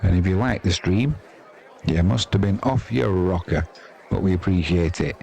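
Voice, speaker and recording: Man, English accent, deep voice, chatty amateur cricket commentator, wry and self-deprecating, faint crowd behind. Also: normal speed, gravelly, dark tone. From England. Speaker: amateur live-stream sports commentator. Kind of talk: casual live cricket commentary.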